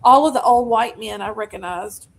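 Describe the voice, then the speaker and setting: A woman's voice: on the high side, normal speed, vocal fry, slight background noise. A woman with a Southern US accent, casually chatting about movies on a podcast in a laid-back way. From Deep South of the US. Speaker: podcast co-host. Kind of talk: casual movie review chat.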